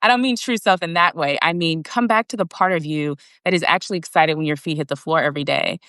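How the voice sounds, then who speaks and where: A woman's voice: deep voice, quick pace, a bit bright, no room sound, clean studio sound. A woman guest and author talking earnestly in a casual live video conversation, explaining her ideas steadily. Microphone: video call.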